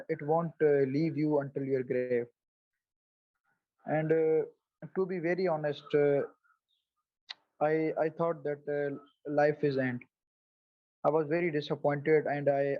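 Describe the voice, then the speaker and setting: A man in his twenties from Pakistan, speaking as a guest on a video call, halting, with many fillers and restarts. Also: a little high, no echo, highs cut off. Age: 20s. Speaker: guest, psoriasis advocate. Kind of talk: casual interview answers.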